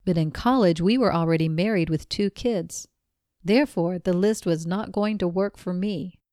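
A woman reading a written magazine interview aloud in a measured, even manner. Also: lowish voice, no echo, studio quality. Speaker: reader of a magazine article.

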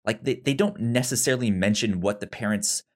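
A man with a fairly low voice talks as a podcast co-host in a loose film discussion. He is halting and rambling, often doubling back to restart his phrases.

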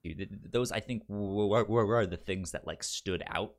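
A man co-hosting a casual film chat, speaking informally and haltingly with a stammer that repeats sounds and syllables. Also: on the low side. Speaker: film podcast co-host.

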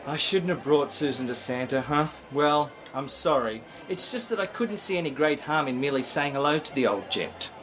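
A man's voice: fairly high pitch, lively intonation, moderate pace, few pauses, a bit bright, soft background music. A man playing an easygoing, apologetic neighbour in an old-time radio play, casual and mild.

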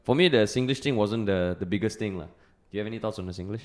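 A man with a Singaporean accent co-hosting a casual podcast, making quick joking asides. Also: on the low side, darkish tone. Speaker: podcast co-host.

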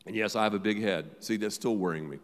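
Emphatic pastor preaching in a reverberant hall. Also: male voice, lowish voice, fast. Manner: emphatic, repeats phrases, sudden swings from loud to quiet. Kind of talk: sermon. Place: large church auditorium.

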